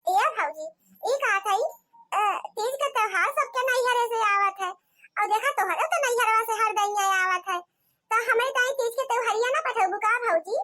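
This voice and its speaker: A woman's voice: extremely high pitch, normal speed, sharp tone. A woman voicing a meek, long-suffering village daughter-in-law in an Awadhi accent, plaintive, for a cartoon comedy. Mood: pleading.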